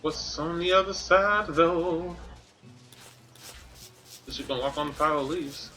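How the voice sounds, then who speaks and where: A man's voice: fairly high pitch, a little slow, bright, forceful tone. A man streaming a video game, musing aloud in casual, slangy commentary. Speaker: gaming streamer. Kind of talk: casual let's play commentary. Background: game music.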